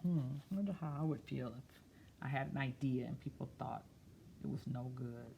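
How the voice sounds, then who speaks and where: A woman reading a picture book aloud expressively, slipping into quieter, musing, conversational asides. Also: very deep voice, moderate pace, vocal fry, a little hiss or hum. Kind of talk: expressive read-aloud with soft, chatty asides.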